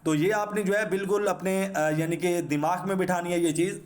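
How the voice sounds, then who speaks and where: A high-pitched man with a Pakistani accent talks through an unscripted tutorial. He explains step by step, repeating himself and dropping in English terms, with a slightly sore throat. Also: fairly even intonation, normal speed, a little hiss or hum. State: sore throat, clearing it now and then. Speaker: trading instructor. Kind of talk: unscripted screen-share trading tutorial.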